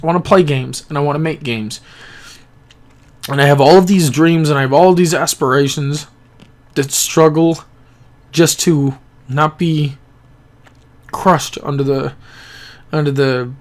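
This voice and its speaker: A young man speaks in a slightly higher voice than most men. He rambles earnestly and reflectively, with pauses and restarts. The mic is close, as if he is talking straight into his camera. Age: young adult. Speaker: gaming YouTuber. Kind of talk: rambling personal vlog monologue. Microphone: camera-mounted microphone, close.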